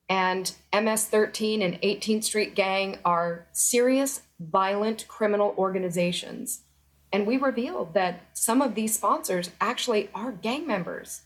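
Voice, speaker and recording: Middle-aged woman guest, earnest and emphatic, a steady mid-pitched voice. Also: low-quality recording. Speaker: interview guest, former federal employee. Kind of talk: remote news interview.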